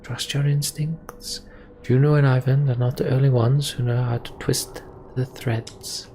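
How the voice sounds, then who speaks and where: A man's voice: mid-range voice, level tone, unhurried, mellow, slight background noise, pitched above the performer's own voice, natural voice. Guy doing a scheming mystic from a fantasy game, raised voice, solemn n conspiratorial.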